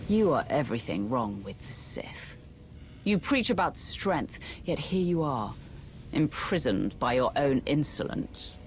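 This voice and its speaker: A woman's voice: a bass voice, big pitch swings, unhurried, a little hiss or hum, heavily acted. An actress playing a stern, imperious female Sith warrior in a video game, commanding and cold. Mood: scornful. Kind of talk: dramatic video game voice acting.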